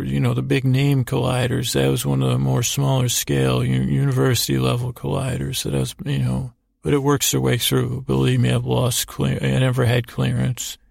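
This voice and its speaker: Very creaky, gravelly rambling man. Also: medium pitch, a little mellow, dry recording, clean studio sound. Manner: meandering, halting, stammering and repeating words; whimsical, self-deprecating. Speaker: podcast host. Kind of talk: rambling bedtime sleep-story monologue.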